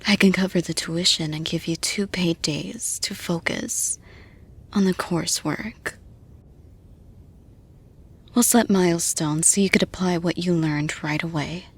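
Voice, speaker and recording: A composed female boss acted by a woman speaks softly and slowly, straight to the listener. Her voice is breathy and a little low. She sounds measured, supportive and reassuring, as in an intimate roleplay audio. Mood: calm, reassuring.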